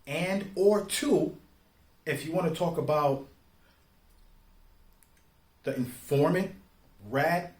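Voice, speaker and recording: Echoey, higher-voiced man giving street-slang commentary. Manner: street slang, emphatic, deliberate with rhetorical questions. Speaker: online commentator. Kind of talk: informal opinion commentary. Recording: roomy, echoing sound.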